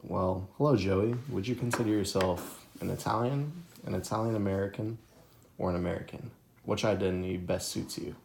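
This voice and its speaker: Young male interviewer, low gravelly voice, roomy echo, casual and halting. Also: a little mellow, a little hiss or hum. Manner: hesitant, lots of fillers and restarts, laughs easily.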